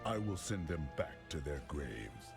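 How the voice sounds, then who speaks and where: A grim fantasy game hero with an extremely low, gravelly voice. He is terse and solemn but swings expressively in tone. Loud music plays behind him. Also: very animated, unhurried, full character voice. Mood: resolute. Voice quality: very deep, gravelly. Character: grim, resolute male warrior hero of dark fantasy. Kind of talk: dark fantasy video game voice acting.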